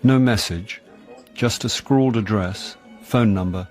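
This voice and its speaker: A British actor playing a wry, self-deprecating middle-aged jobbing actor in a radio drama, with a dry, sardonic delivery. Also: male voice, lowish voice, unhurried, a little mellow, faint music behind, lightly acted. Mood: wry narration. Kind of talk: radio drama acting, with first-person narration.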